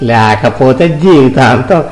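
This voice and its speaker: A man's voice: mid-range voice, lively intonation, takes it slowly, darkish tone. A man playing an arrogant, mocking rich village man in a moral story, speaking colloquial rural Telugu. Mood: mocking, boastful. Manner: mocking, boastful, condescending. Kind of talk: moral story audio drama. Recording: music behind the voice.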